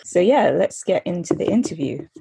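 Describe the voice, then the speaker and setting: A woman's voice: on the low side, big pitch swings, moderate pace, gravelly, mellow, husky, no echo, low-quality recording. A woman interviewing a guest, asking questions in a casual way with many fillers.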